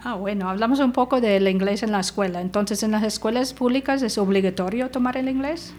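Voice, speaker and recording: Woman podcast host, mid-pitched, brief prompts, faint hiss behind. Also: fairly quick, darkish tone. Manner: short, encouraging prompts and questions. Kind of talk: interviewing.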